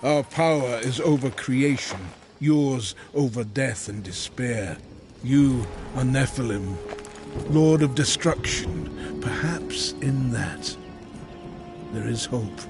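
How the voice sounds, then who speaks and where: Reverent, solemn craftsman of fantasy forge folk, measured game delivery over music, slow. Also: medium pitch. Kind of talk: video game cutscene acting.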